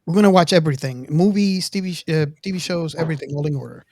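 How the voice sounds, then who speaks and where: A man's voice: on the high side, fast talker, darkish tone, no echo. A man hosting a podcast in a casual, conversational style. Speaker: podcast host. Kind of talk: casual chat.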